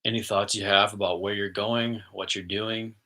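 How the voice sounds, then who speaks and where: A man with a fairly low voice that still has a bright edge, hosting a spiritual talk. He chats in a relaxed, easygoing way. When he guides the breathing he slows down and goes soft. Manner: easygoing and chatty, soft and slow when guiding. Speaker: spiritual host and intuitive channeler.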